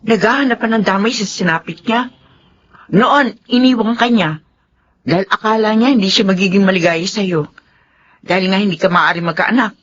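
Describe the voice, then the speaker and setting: An actress playing a blunt, scornful confidante in a radio drama, indignant and outspoken. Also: female voice, mid-range voice, normal speed, close to an everyday voice. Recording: dull lo-fi radio drama sound.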